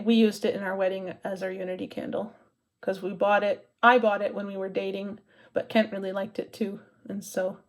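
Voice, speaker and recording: A woman's voice: medium pitch, a little mellow. Chatty middle-aged woman from Maine. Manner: chatty, rambling, self-correcting with many fillers. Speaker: cross-stitch vlogger. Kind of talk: casual chat to camera.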